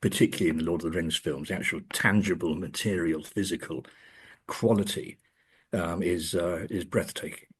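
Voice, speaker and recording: A man speaking as an academic guest in an interview, discussing in a halting way and often repeating words as he thinks. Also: low-pitched, slightly rough. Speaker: academic and author being interviewed. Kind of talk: interview discussion of film adaptations.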